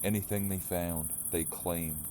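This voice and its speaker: A man in an audio drama playing a grim, wary leader of a survivor group, speaking in a measured, intense manner. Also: sits low, steady tone, warm tone, a little hiss or hum. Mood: grim, confiding.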